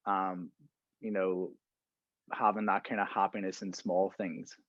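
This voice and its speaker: Low-voiced man in his thirties, casual podcast chat. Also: level tone, a little slow, no echo, lo-fi sound. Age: 30s. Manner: conversational, fillers like "right?" and "you know". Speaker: podcast host.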